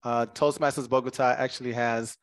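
A man's voice: mid-range voice, steady tone, fairly quick. A man with an American accent gives a conversational impromptu speech on a video call, in a casual, easygoing way. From United States. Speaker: speech contest participant.

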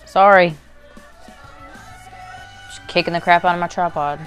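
A woman's voice: lowish voice, steady tone, measured pace, a bit bright, soft background music. A young adult woman, hosting a reaction video in casual, gushing, informal chat to camera. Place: small bedroom, indoors. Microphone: lapel mic.

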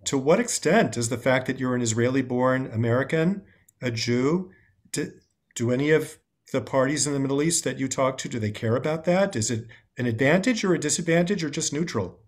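A man in his sixties hosting an interview over a video call, asking measured questions and thanking his guest in formal terms. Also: medium pitch. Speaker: host. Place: home room indoors.

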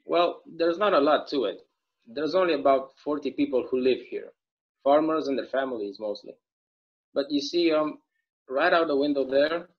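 A man's voice: on the high side, moderate pace, close to an everyday voice. A young man playing a chatty, folksy small-town bartender in a table read of a stage play, rambling through local stories over a video call. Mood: easygoing. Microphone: video call microphone. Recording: dull, lo-fi video call audio.

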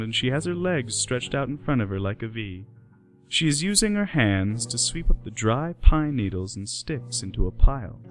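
A man's voice: mid-range voice, a bit bright. A man narrating a scripted radio play in the present tense.

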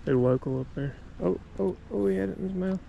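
A man with a high, creaky voice talks in a folksy, exclamatory fishing-vlog style. He is recorded outdoors with clear ambient noise around him. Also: fast, muffled recording. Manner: folksy, exclamatory, mild swearing. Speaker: fishing vlogger. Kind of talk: casual excited chat while fishing. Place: outdoors at a trout stream.

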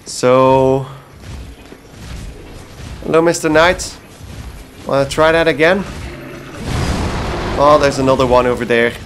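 A man's voice: medium pitch, steady tone, slow, long pauses, over music. A man from Belgium giving casual gameplay commentary, talking to himself and swearing freely. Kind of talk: casual blind playthrough commentary.

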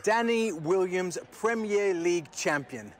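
An actor playing a polished, probing football reporter doing post-match interviews in a video game. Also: male voice, high voice, lively intonation, measured pace, music in the background. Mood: professional interest. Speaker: sports reporter.

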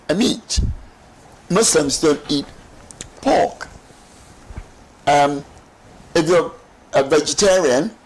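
A middle-aged man, explaining as a talk-show guest in emphatic, deliberate phrases. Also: on the high side, rough recording. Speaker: studio guest. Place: TV studio lounge set. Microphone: lapel mic.

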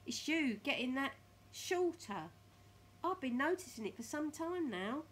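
Woman playing a loud, taunting, horrid husband. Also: high-pitched, big pitch swings, unhurried, slight background noise, voice pushed up. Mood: gloating, taunting. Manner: scornful, emphatic, taunting. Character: nasty gloating bullying husband, a horrid man.

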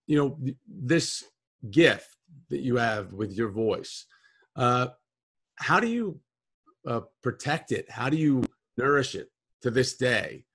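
A man's voice: mid-range voice, expressive, slow, fairly bright tone, slightly rough. A man in his forties hosting an interview over a video call from home, asking questions in a friendly, curious way. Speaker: show host. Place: home room with patterned wall. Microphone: video call webcam.

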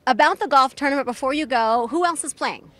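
A woman's voice: high voice, fairly quick, a bit bright, no room sound. An American woman in middle age working as a field reporter, doing a conversational live news interview outdoors. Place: outdoors on a golf course. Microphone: handheld reporter microphone.

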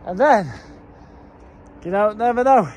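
A man in his forties with a fairly high voice, talking to his phone at arm's length as he wanders around a mountain farm. He chats in a loose, rambling way, full of fillers and restarts, with a faint hiss behind him. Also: measured pace, darkish tone. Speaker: farm owner vlogger. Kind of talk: casual walk-and-talk vlog. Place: mountain farm, mostly outdoors, partly in a wooden kitchen. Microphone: phone held at arm's length.

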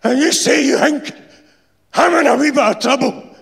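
A man's voice: extremely high pitch, normal speed, vocal fry, voice pushed up. A man voicing a bitter, dying shipyard insulator with a Glasgow accent, blunt and emphatic, in a live story reading. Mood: furious, menacing. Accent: Scottish, Glasgow. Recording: echoey hall, live stage PA.

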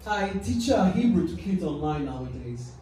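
A woman from Israel with an Israeli accent and a low, deep voice, performing a stand-up set with deadpan, self-mocking delivery on a small club stage into a handheld mic. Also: normal speed, a little hiss or hum. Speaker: stand-up comedian. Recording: echoey club, heard from a distance.

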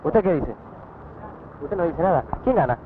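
A man with a Rioplatense accent fires short questions at passers-by, his fairly high voice bouncing up and down. The sound is tinny and narrow like an old 1960s newsreel, with street noise faintly underneath. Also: expressive. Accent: Rioplatense Spanish. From Argentina. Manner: brisk, quick-fire questioning. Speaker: street reporter. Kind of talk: vox pop interviews. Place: city streets and harbor, outdoors. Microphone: handheld corded mic.

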